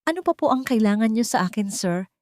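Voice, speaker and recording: A woman's voice: fairly high pitch, expressive, a little fast, a little mellow, no room sound, studio quality, pitched above the performer's own voice, lightly acted. A woman voicing a proud young housemaid who stays firm and polite despite her hurt. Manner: firm, polite but cold.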